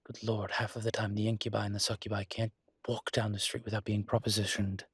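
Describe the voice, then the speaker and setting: Male actor as incubus demon, husky, fairly even intonation, wry, proud, articulate, intimate audio roleplay, dry sound. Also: fairly low pitch, no room sound. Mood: indignant, protective. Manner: wry, articulate, unhurried emphatic phrasing. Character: suave adult male incubus demon, proud, wry, protective of his kind. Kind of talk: roleplay audio drama, intimate conversational monologue.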